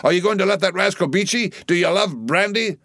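A man's voice: medium pitch, lively intonation, moderate pace, fairly bright tone, no room sound, clean studio sound, pitched above the performer's own voice, close to an everyday voice. A man voicing a jocular, blustering whaleboat mate in an audiobook, hectoring his crew with exclamations and rhetorical questions. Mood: blustering urging. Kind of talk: audiobook storybook character voice.

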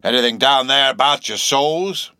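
A man's voice: medium pitch, moderate pace, sharp tone, clear, resonant tone, no echo, clean studio sound, voice pushed up, natural voice. A man voicing a shabby, insanely earnest old sailor in an audiobook, speaking abruptly with nervous emphasis and derisive, cryptic questions. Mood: insistent, mocking. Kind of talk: audiobook character acting.

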